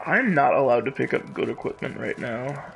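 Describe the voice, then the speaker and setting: A man's voice: medium pitch, expressive, brisk, gravelly, a bit bright, a little hiss or hum, low-quality recording. A man giving casual, jokey live commentary as he streams a game, with mock drama. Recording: game music behind the voice. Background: video game music.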